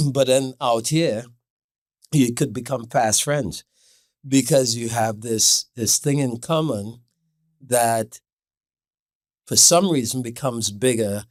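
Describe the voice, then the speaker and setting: A man from Trinidad, a podcast guest, telling stories in a relaxed, casual way with filler words. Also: mid-range voice, slow pace, long pauses, dry recording, clean studio sound. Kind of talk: casual interview chat.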